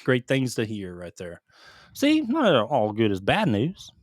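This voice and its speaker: A man co-hosting a casual gaming podcast, reading headlines aloud with quick asides and stumbling over foreign names. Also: medium pitch, huge pitch swings, no room sound, studio quality. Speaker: podcast co-host reading news.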